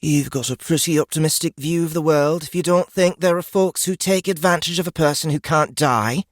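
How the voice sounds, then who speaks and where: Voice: medium pitch, brisk, dry recording, clean studio sound, pitched above the performer's own voice, close to an everyday voice. A narrator voicing a brash, mocking, experienced woodswoman in a fantasy audiobook, blunt and amused. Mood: excited, knowing.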